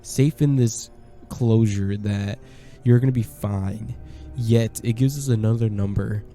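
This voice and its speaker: Dark-voiced man narrating a horror game. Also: fairly low pitch, measured pace, faint music behind. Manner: plain, conversational, slightly hesitant. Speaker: gameplay commentator. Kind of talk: casual voice-over game commentary.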